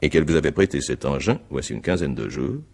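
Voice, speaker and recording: An actor playing a stern, methodical examining magistrate in a radio mystery drama, questioning formally and deliberately with a gravelly voice. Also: male voice, extremely low pitch, animated, a little mellow, heavily acted. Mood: probing, methodical.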